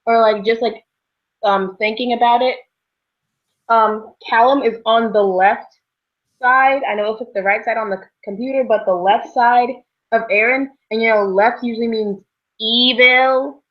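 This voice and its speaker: A woman's voice: fairly high pitch, steady tone, unhurried. A young woman co-hosting a casual, chatty discussion about books. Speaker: book discussion show co-host. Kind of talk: casual book chat. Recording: dull, lo-fi sound.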